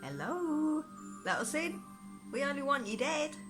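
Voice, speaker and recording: A woman voicing bloodthirsty, mocking evil gnomes in a dark fantasy audiobook, shrieking and taunting. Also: sits high, big pitch swings, measured pace, voice pushed up. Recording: music behind the voice.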